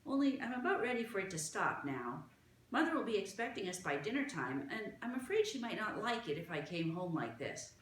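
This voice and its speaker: A woman voicing an eager, excitable young girl who talks high and quick. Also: a little hiss or hum, close to an everyday voice. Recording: roomy echo.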